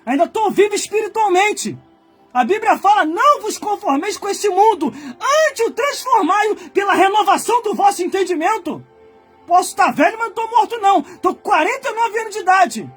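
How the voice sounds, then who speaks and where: A man in his forties from Brazil, a pastor preaching a fiery sermon that swings from quiet muttered asides to loud shouted warnings. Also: very high-pitched, sing-song, moderate pace, soft background music. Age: 40s. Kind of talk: fiery Pentecostal-style sermon.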